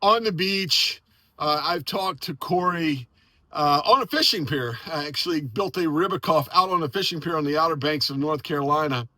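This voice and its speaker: A man's voice: a little high, normal speed, bright, forceful tone, no echo. An American man chatting casually and enthusiastically as an amateur radio operator and video host. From United States. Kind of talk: casual storytelling and on-air radio chat.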